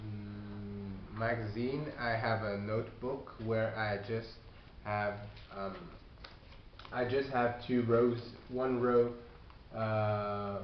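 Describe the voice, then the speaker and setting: Halting non-native man in echoey room. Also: fairly low pitch, steady tone. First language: not English. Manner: halting, many fillers and restarts. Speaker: language learner giving advice. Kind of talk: casual video response. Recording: echoey room, dull lo-fi sound, faint hiss.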